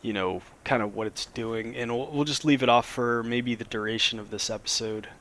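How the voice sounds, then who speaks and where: A man, a little hoarse after a cold, casually explaining a modded game as he plays, with plenty of ums and restarts. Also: mid-range voice, moderate pace. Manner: relaxed, rambling, lots of fillers. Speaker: Minecraft let's play host.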